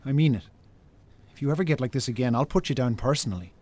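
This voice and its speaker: An actor playing a farmer's worried, blunt younger brother with an Irish accent in a naturalistic radio drama. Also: male voice, medium pitch, fast, darkish tone, lightly acted. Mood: stern, guilty.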